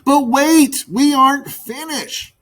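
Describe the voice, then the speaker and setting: A man voicing a frustrated, bossy female magpie teacher in a storybook, instructing in a deliberate way. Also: very high-pitched, a bit bright, pitched above the performer's own voice, heavily acted. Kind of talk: storybook character voice.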